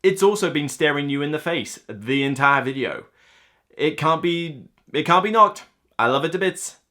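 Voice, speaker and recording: Bright-voiced man, animated game reviewer. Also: a little high, normal speed. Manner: animated, rambling, emphatic. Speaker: board game reviewer and host. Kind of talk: casual enthusiastic countdown review to camera. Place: indoor room lined with game shelves.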